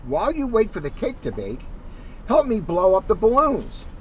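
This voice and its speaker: A man's voice: sits high, huge pitch swings, normal speed, far from the mic, muffled recording, a little hiss or hum, pitched above the performer's own voice. Man playing a raised-voice, instructing father frog. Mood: helpful instructing. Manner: instructing, calling out. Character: helpful adult father frog, calling out instructions.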